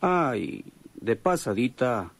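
A man's voice: medium pitch, sing-song, slow pace, husky, dry recording, full character voice. A man playing a weary, greedy boss who gives orders in a matter-of-fact way, in a radio drama. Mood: commanding. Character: weary, brisk boss of lawmen, greedy for treasure.

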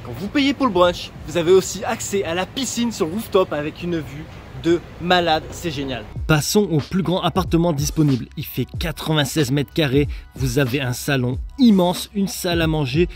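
A man in his thirties vlogging his travels, chatting playfully to viewers from the street and cafés with the camera at arm's length. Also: a little high. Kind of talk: travel vlog narration.

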